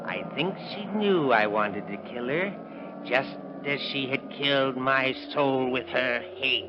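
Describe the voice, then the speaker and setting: A man's voice: mid-range voice, measured pace, background noise, lightly acted. An actor playing a meek, bitter man turned menacing, in theatrical 1930s radio drama style. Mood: brooding, menacing. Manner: theatrical, defiant. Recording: muffled vintage radio recording with music.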